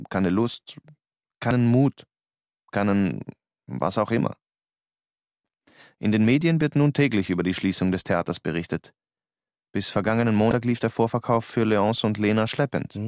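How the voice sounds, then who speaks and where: An actor as restless stage player, low dry voice. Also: male voice, dry recording, lightly acted. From Austria. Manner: insistent, probing. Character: restless, insistent young Austrian stage actor, worn out and searching. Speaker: actor in a radio drama. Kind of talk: radio drama narration and dialogue.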